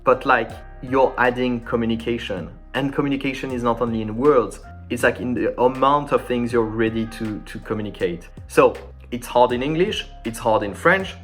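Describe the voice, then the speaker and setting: A man speaking English as a second language, giving a casual, informal French lesson in an animated way. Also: mid-range voice. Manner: animated and informal, acting out sample dialogues.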